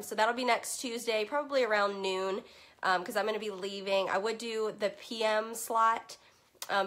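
A woman's voice: mid-range voice, a bit bright. A young woman talks casually into a webcam from a room at home lined with bookshelves. Her voice is wearing thin from allergies, and she rambles in a friendly, upbeat way full of "um"s and little asides. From Virginia. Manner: chatty and upbeat, many "um"s, greets viewers by name. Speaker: children's book consultant and host. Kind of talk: casual chat to a live group. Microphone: webcam at desk distance.

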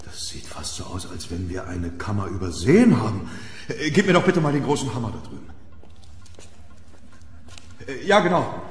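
A man playing an eager, commanding archaeologist in a horror radio play, dramatic and excitable. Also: fairly low pitch, moderate pace, long pauses. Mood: absorbed, assured. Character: eager, commanding male archaeologist exploring a tomb. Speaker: audio drama actor. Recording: roomy, long echo, background noise.

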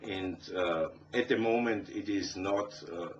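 Deep austrian guy, german accent, chatting in an interview, muffled audio with a bit of noise. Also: measured pace. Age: middle-aged. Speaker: interviewed rock musician. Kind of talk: conversational interview answers. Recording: dull, distant, faintly noisy interview audio.

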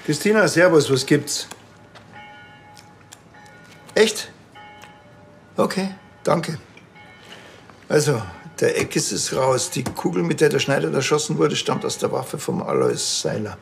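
A man's voice: a little high, sing-song, slow pace, vocal fry, pauses often, faint music behind, full character voice. An actor playing a laconic, dryly understated village policeman with a Bavarian accent in a TV crime comedy. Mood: matter-of-fact. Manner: dry, understated. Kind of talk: television crime comedy acting.

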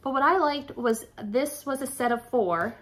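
A woman's voice: high-pitched, moderate pace, fairly bright tone. A woman in her forties, a little out of breath, chatting casually and rambling to viewers as she presents secondhand finds. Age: 40s. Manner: rambling, self-correcting, addresses viewers directly. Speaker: reseller showing flea market finds. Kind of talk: casual chatty haul vlog. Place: furnished room at home, indoors.

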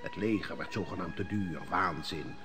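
An actor playing a resentful deposed general who talks bluntly, in an old radio play. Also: male voice, sits low, normal speed, music in the background, lightly acted.

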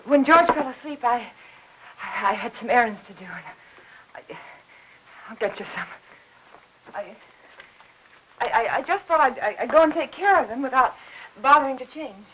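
Anxious housewife, noisy old soundtrack. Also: female voice, on the high side, expressive, moderate pace, long pauses, fairly bright tone, dull audio. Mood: nervous, evasive. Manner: nervous, hesitant, stammering. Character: anxious, worn-out young housewife hiding a secret. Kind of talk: 1950s Hollywood melodrama film acting.

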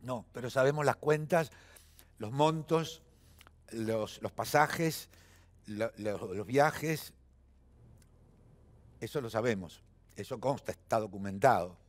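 A man's voice: mid-range voice, slow, long pauses. A man in his seventies with an Argentine accent, a guest in a television studio interview, talking emphatically with frequent fillers and restarts. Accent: Argentine Spanish. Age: 70s.

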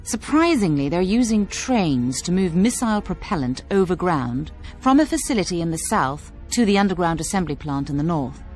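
Female military briefer: composed, instructive, lowish voice with lively intonation, game mission briefing. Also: moderate pace, few pauses, soft background music.

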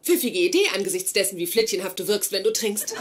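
An actress playing a blunt, socially awkward neurobiologist with formal, academic phrasing in a dubbed sitcom. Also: female voice, medium pitch, very animated, quick pace, lightly acted. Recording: noisy sitcom soundtrack.

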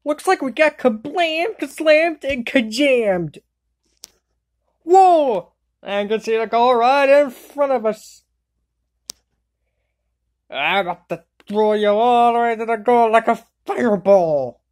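A woman voicing an excitable, wisecracking girl in an amateur anime comedy fandub, full of exclamations. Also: a little high, takes it slowly, long pauses. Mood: excited. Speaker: fan dub voice actor. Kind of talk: amateur cartoon fandub line readings.

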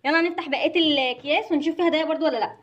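A woman's voice: sits high, very fast, lo-fi sound, slight background noise. A woman with an Egyptian accent casually narrating an unboxing for children. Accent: Egyptian Arabic. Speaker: host of a children's toy channel.

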